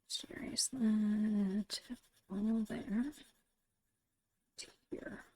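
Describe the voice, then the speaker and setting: A woman whispering in a breathy, creaky voice, murmuring her way step by step through an ASMR art narration. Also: mid-range voice, steady tone, dark tone, no echo. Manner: unhurried, murmured step-by-step musing. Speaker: host of an art ASMR channel.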